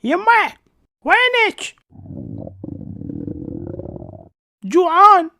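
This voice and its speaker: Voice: very high voice, very animated, slow, pushed and bright, dry recording, heavily acted. A woman voicing a curious, naive nine-year-old cartoon boy with an Iraqi Arabic accent, speaking in short, simple questions. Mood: puzzled, hungry. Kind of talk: cartoon dialogue.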